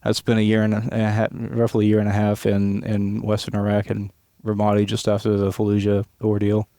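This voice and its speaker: Low, even-voiced man from Tennessee. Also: a little fast, no echo, studio quality. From West Tennessee, USA. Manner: relaxed storytelling, chuckles often, many restarts. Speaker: police aviation unit leader, guest. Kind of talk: casual podcast interview. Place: hangar office.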